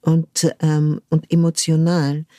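An older woman from France, speaking thoughtfully as an interview guest and pausing often to search for the right word. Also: low voice, monotone, dark tone, no echo, studio quality. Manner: thoughtful, searching for words with frequent pauses. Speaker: guest, cultural manager. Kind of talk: reflective conversational interview.

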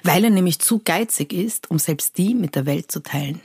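Low-voiced Persian-born woman, dry sound. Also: moderate pace, slightly rough, no room sound. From Iran. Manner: animated, lively storytelling with many fillers. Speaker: cabaret artist guest. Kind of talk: casual interview chat.

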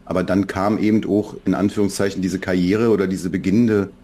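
Berlin guy, low voice, thinking out loud, lots of ums and restarts. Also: steady tone, fairly quick. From Berlin, Germany. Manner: reflective, many fillers and restarts. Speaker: children's book author, guest. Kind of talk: relaxed podcast conversation.

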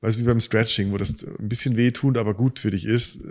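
A man co-hosting a casual podcast conversation, reflective and thinking out loud as he talks. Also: fairly low pitch, steady tone, a little fast. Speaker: podcast co-host. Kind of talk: casual conversation about relationships.

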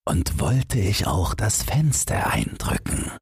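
A man's voice: fairly low pitch, wildly swooping pitch, takes it slowly, gravelly, slightly rough, dry recording, studio quality, voice pushed up, exaggerated voice. A man voicing a naive, yearning snowman in a fairy tale. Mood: longing.

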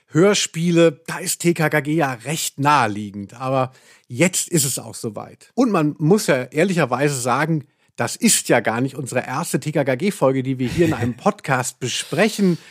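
A man co-hosting a podcast in a casual, excitable, tongue-in-cheek way. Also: on the high side, normal speed. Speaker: podcast co-host. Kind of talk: casual chat about audio dramas.

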